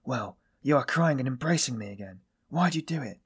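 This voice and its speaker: A man playing a weary, self-loathing man in audiobook dialogue, confessing in a brooding, bitter, halting manner. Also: medium pitch, animated, breathy edge, pitched above the performer's own voice. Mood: anguished.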